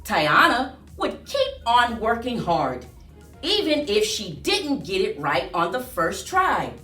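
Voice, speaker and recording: A woman reading aloud to children as a storyteller, with an expressive, singsong delivery. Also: on the high side, takes it slowly. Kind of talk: read-aloud storytime with rhyming chant. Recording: roomy echo, distant mic, faint music.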